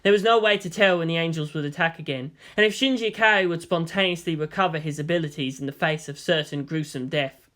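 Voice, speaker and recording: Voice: medium pitch, fast, fairly bright tone. A narrator reading fan fiction aloud as scripted, dramatic narration.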